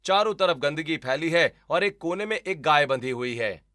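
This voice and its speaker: A man's voice: on the high side, animated, moderate pace, sharp tone, no room sound, studio quality. A man giving scripted, dramatic narration of a story as its narrator.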